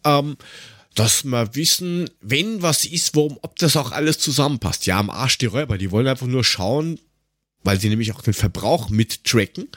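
A man's voice: mid-range voice, no room sound. Grumbling Austrian man, dry close recording. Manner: grumbling, sarcastic, coarse-mouthed rambling. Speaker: podcast co-host. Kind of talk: casual podcast chat.

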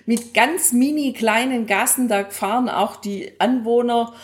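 Gesprächige Frau mit eher hoher Stimme. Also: female voice, fairly high pitch, measured pace. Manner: chatty, colloquial. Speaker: podcast co-host. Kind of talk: casual conversational travel talk.

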